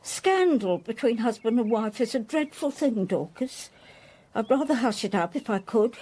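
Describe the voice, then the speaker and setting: Female servant role, period radio drama: loyal, chatty, deferential, husky voice with animated intonation. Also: on the high side, moderate pace. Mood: confiding, dramatic. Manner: deferential, gossipy, earnest. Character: loyal, talkative, respectful housemaid devoted to her mistress.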